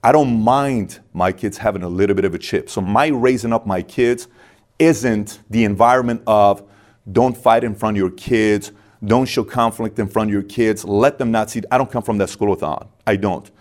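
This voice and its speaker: A man's voice: lowish voice, moderate pace, a little mellow. A man from Iran in his thirties, speaking as an interview guest, emphatic and repeating lines for stress. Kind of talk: candid interview talk.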